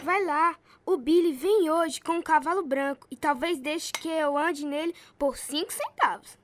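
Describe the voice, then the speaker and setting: A young farm boy in a radio drama, resentful and full of spirit. The voice is very high and bright, earnest and quick to exclaim. There is faint noise on the recording. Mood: eager. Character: spirited, resentful eleven-year-old farm boy, an orphan.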